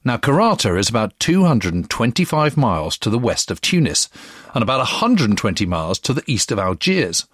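A man's voice: medium pitch, big pitch swings, a little fast, fairly bright tone, breathy edge, dry recording, clean studio sound. A man hosting a history podcast, narrating conversationally with playful asides. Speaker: podcast host.

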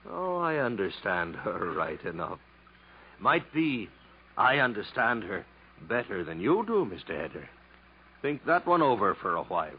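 An actor playing an insinuating, hearty-drinking bungalow owner with mock-polite, domineering manner in 1950s radio style. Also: male voice, on the low side, big pitch swings, measured pace. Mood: hostile, taunting. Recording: dull, noisy vintage radio recording.

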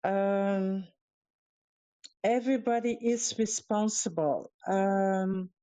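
A woman with a Dutch accent, Dutch her first language, calling in as a guest and speaking haltingly with many fillers and restarts. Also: medium pitch, warm tone, clean tone, no echo, highs cut off. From Europe. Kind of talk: casual talk on a live audio show. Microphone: calling in remotely.

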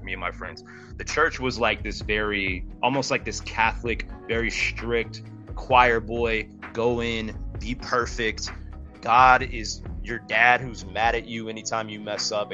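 A man with a forceful, bright voice talks as a podcast guest over music. He is animated and slangy, making his points emphatically in a casual conversational style and peppering them with "bro". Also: lowish voice. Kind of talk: casual conversational opinion talk.

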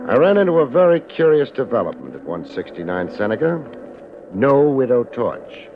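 A man's voice: medium pitch, big pitch swings, moderate pace, close to an everyday voice. A man playing a wry, laid-back, hard-boiled private investigator in a 1950s radio detective drama, talking in clipped, sardonic slang. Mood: brooding narration. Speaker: lead actor and narrator of a detective series. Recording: muffled vintage 1950s radio recording with music.

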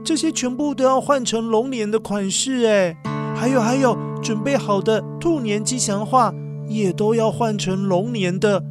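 A woman's voice: fairly high pitch, normal speed. Woman narrating a children's story over music. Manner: expressive, addresses children directly. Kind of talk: scripted storytelling for young children.

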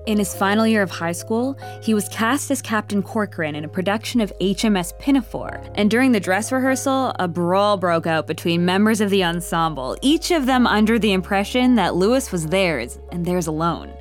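A woman narrating an audio comedy as a wry, measured, all-knowing storyteller in a scripted style. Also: medium pitch, lively intonation, soft background music, lightly acted. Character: wry omniscient female storyteller.

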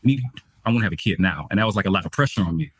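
A man's voice: lowish voice, animated, rapid-fire, slightly rough, dry recording. A man in his twenties hosting a podcast, talking openly and reflectively about his own life. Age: 20s. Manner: open, reflective, candid. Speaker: podcast host. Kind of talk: podcast conversation, personal sharing.

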